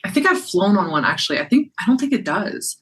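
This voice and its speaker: A woman's voice: medium pitch, sing-song, quick pace, breathy edge. A woman in casual reaction chat as a guest commentator, questioning and thinking aloud.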